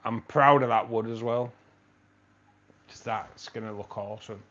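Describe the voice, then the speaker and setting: A man's voice: on the low side, fairly even intonation, unhurried, fairly bright tone, highs cut off. A man hosting a live stream from a room with fish tanks, chatting casually and rambling, often repeating himself.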